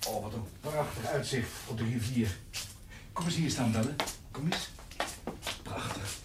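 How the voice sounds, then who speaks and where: An actor playing a gruff, wry male companion to an adventuress in a historical radio drama. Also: medium pitch, unhurried, close to an everyday voice. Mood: admiring. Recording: noisy, echoing hall, somewhat off mic.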